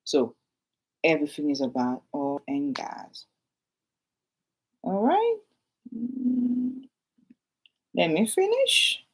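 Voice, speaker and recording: A woman's voice: medium pitch, sing-song, slow pace, long pauses, slightly rough, poor audio. A woman with a French accent, a realtor chatting casually to her viewers while reading from her notes and adding asides.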